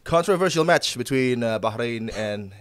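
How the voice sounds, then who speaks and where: A man's voice: mid-range voice, lively intonation, normal speed, smooth, dry recording. A man hosting a casual football talk show, hesitant with many filler words.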